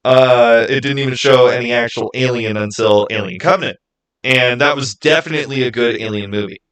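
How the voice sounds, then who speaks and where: A man's voice: medium pitch, fairly bright tone. Man chatting casually on a livestream. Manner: informal, halting, thinking aloud. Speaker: streamer hosting a live channel Q&A. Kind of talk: casual livestream chat, answering viewer comments.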